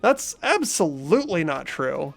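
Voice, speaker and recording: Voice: medium pitch, very animated, unhurried, vocal fry, faint music behind, heavily acted. A performer voicing a mild, earnest teenage schoolboy who narrates and chats in a fan-voiced visual novel game. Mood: bemused.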